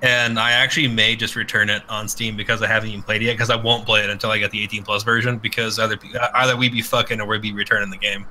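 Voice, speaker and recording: A man's voice: fairly low pitch, little pitch movement, fast talker, hardly any pauses, sharp tone, a little hiss or hum, poor audio. A man co-hosting a podcast in casual, crude banter, rambling and irreverent, swearing freely. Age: adult with children. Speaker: podcast co-host.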